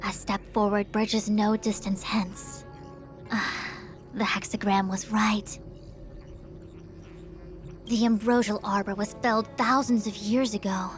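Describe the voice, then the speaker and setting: A woman playing a composed, self-assured young diviner in a video game, speaking in a formal, measured, authoritative way. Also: mid-range voice, level tone, faint music behind, natural voice. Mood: solemn, certain. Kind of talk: scripted video game dialogue.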